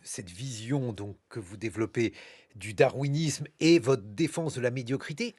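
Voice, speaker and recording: A man's voice: mid-range voice, darkish tone, slightly rough, no room sound. A man hosting a radio interview, putting questions and presenting in a studio. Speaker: radio host.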